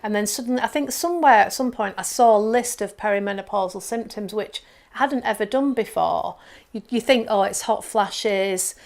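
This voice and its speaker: A woman's voice: medium pitch, normal speed, creaky, darkish tone. A woman in her fifties, co-author and workshop host, chatting casually on a live stream and freely agreeing with her co-host. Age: 50s.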